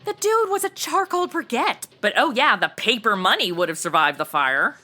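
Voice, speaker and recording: An actress playing a flighty, self-absorbed young podcaster in a comedy audio drama, chatty, slangy and gushing. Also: female voice, on the high side, big pitch swings, normal speed, sharp tone, heavily acted. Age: young adult.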